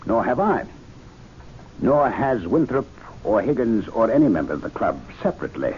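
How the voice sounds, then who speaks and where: An actor playing an authoritative, persuasive club member with an oratorical manner in a radio mystery drama. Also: male voice, lowish voice, big pitch swings. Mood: assured, argumentative. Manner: oratorical, deliberate rhetorical phrasing. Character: authoritative male club member, assured, didactic, persuasive theorist. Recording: dull vintage radio recording with hiss.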